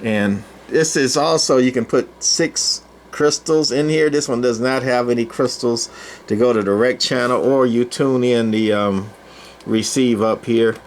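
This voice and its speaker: A man's voice: mid-range voice, steady tone. Older man, folksy, over noisy room sound. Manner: rambling, folksy, halting with long pauses. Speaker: presenter, CB radio collector. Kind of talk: casual unscripted equipment demo.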